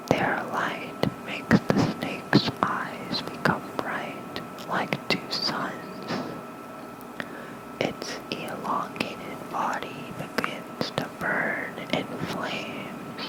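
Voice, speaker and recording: A soft ASMR host reads mythology in a full whisper, with no voiced tone. The delivery is gentle and very unhurried, spoken close into a fluffy-covered mic for a bedtime listener. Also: rough recording. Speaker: ASMR host reading lore. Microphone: close fluffy-covered microphone.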